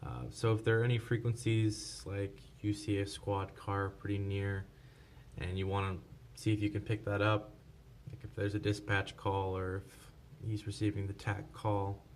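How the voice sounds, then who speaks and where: Male tutorial presenter, fairly low voice, informal walk-through delivery, light noise behind. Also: little pitch movement, normal speed. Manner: informal, unscripted step-by-step explaining. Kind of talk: casual hands-on tutorial narration. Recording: clear background noise.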